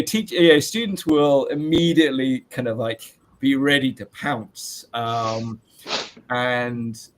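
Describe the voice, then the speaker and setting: A man in his late forties, a teacher, who talks in a loud voice and answers interview questions in a chatty way with many fillers and restarts. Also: medium pitch. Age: 40s.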